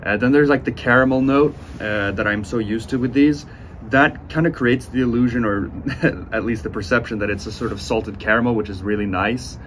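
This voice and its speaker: A man in his twenties giving a casual, unhurried review to camera, speaking with enthusiastic praise. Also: mid-range voice, fairly bright tone. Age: 20s. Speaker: cigar reviewer, host. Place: outdoors on a sunny porch by windows. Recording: outdoor ambient noise.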